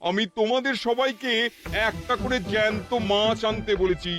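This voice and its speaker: Man playing a very high-pitched, scheming tyrant ruler. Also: moderate pace, natural voice. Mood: imperious. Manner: imperious, gloating, menacing. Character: scheming, domineering male Nawab ruler. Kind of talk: cartoon voice acting. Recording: music behind the voice.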